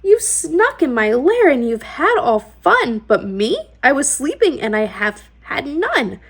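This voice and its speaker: A woman's voice: sits high, sing-song, unhurried, a bit bright, full character voice. A woman voicing a big, grumbling, self-pitying bear from a children's picture book, complaining as she goes. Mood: grumpy, hurt.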